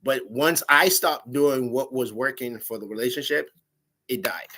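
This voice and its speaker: Guy with a big loud voice telling a story about his ex, animated, laughs a lot. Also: medium pitch, measured pace. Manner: animated, laughing, quotes himself in raised voice. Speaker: dating show guest. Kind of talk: casual storytelling chat.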